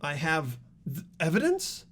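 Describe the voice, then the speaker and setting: A man voicing an earnest high school boy in a mystery game, explaining a case in a deliberate, dramatic way. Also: wildly swooping pitch, a bit bright, slight background noise, full character voice. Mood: puzzled, intent. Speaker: amateur voice actor in a game playthrough.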